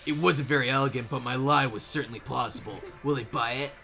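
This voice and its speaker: A man with a bright, forward voice and middling pitch plays through a video game with friends. He drifts between loose, casual chat and reading dialogue aloud. Game music plays clearly behind him. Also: fast talker. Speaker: player and fan dubber of a video game. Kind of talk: casual group banter mixed with read dialogue.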